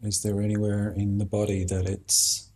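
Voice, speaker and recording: Low, husky man guiding meditation. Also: monotone, darkish tone. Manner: unhurried, halting, with long pauses. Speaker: meditation teacher. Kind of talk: guided meditation instruction.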